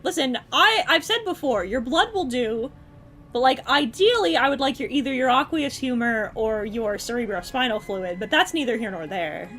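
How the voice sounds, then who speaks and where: A woman streaming, chatting casually and excitably as she reacts to game trailers with friends. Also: on the high side, normal speed. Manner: excitable, chatty, bursts into squeals. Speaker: streamer host.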